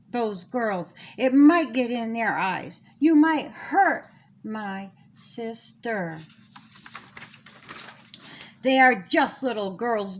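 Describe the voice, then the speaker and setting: Female reader playing a little storybook kid, high voice, slow, earnest. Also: a bit bright, full character voice. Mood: upset, firm. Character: small earnest child standing up to bully. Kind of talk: children's storybook character voice.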